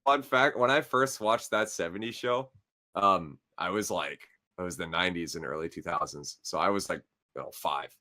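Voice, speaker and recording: A man's voice: low voice, moderate pace, sharp tone. A man in his twenties, co-hosting a casual gaming podcast and telling stories in loose slang, laughing often. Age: 20s. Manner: laid-back slangy storyteller, laughs often. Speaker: podcast co-host.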